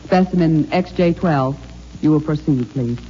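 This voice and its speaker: A woman's voice: low-pitched, unhurried, dark tone. An actress playing a composed woman scientist, clinical yet tender underneath, in a 1950s American radio drama. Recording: muffled old radio recording with hum.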